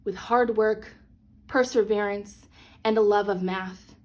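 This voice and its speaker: A woman's voice: medium pitch, fairly even intonation, a little slow, darkish tone. A woman reading a children's picture book aloud as a storyteller, expressively and with dramatic emphasis on key phrases. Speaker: read-along storyteller. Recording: clear background noise.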